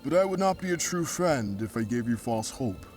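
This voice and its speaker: A man's voice: mid-range voice, big pitch swings, normal speed, a little mellow, soft background music, natural voice. A man playing a noble, courteous ancient ghost guide in an audio drama, speaking in grave, formal, archaic phrases. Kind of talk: audio drama character acting.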